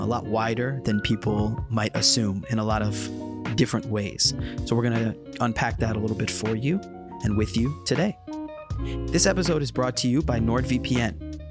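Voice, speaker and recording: A man hosting a podcast, talking in a relaxed, thoughtful way and explaining with examples. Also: medium pitch. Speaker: podcast host. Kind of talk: casual podcast chat.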